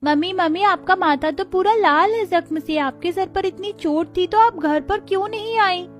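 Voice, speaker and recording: Cartoon role: worried small child, put on by a woman. Squeaky-high, anxious. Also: normal speed, faint music behind. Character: worried young child calling for mother.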